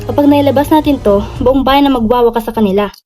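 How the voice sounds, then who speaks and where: A woman's voice: high-pitched, fast talker, warm tone, faint music behind, close to an everyday voice. A woman acting an earnest, cautious ally of a whistleblower in a Filipino radio drama. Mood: worried, urgent.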